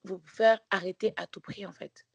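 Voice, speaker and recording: A woman's voice: mid-range voice, fairly even intonation, moderate pace, gravelly, breathy edge, dry recording. A woman co-hosting a podcast, telling a story in casual chat with many restarts and fillers. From Belgium. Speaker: podcast co-host.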